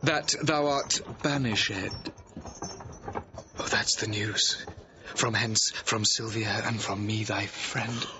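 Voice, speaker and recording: An actor playing an earnest, persuasive young gentleman in a Shakespearean audio drama, declaiming verse in measured phrases. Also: fairly low pitch, steady tone, dull audio, noisy background. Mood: grave.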